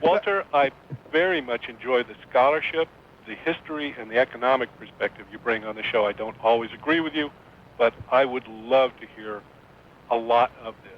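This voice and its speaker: Male radio caller on noisy dull line. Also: a little fast. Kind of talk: conversational political discussion. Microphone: phone. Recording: noisy dull call-in line, low rumble.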